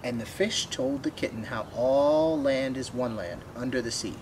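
A man's voice: medium pitch, moderate pace, a little hiss or hum, poor audio. A man in his twenties reading a children's picture book aloud. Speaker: storybook reader. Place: outdoors by a wooden fence.

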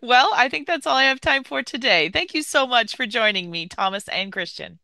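A woman's voice: on the high side, lively intonation, normal speed, pushed and bright, no room sound. A woman hosting a tech podcast, upbeat and enthusiastic as she interviews guests and reads news. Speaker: podcast host.

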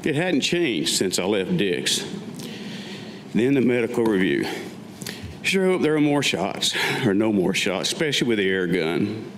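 Older man, fairly deep voice, staged story reading, wry asides, reverberant hall, lectern microphone. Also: sing-song, a little slow. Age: older, about 70. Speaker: Vietnam veteran reading his own piece. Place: public meeting room with audience, indoors. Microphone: gooseneck lectern microphone. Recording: reverberant hall, faint background noise.

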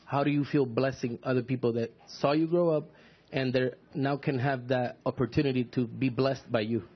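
A man's voice: mid-range voice, steady tone, normal speed, breathy edge. A male reporter asking an earnest, formal question from the floor of a press conference. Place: crowded press room. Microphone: handheld mic close to mouth. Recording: dull, muffled press room audio.

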